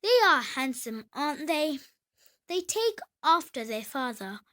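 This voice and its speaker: A woman's voice: high-pitched, big pitch swings, a little slow, pushed and bright, full character voice. A woman voicing a protective, patient but firm mother duck in a children's storybook, coaxing and instructing her little ones. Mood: proud. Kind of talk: children's storybook read-aloud.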